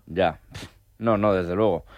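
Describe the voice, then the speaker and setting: Low-voiced Spanish man hosting sports talk. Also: no echo, highs cut off. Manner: measured, hesitant with many fillers. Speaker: host.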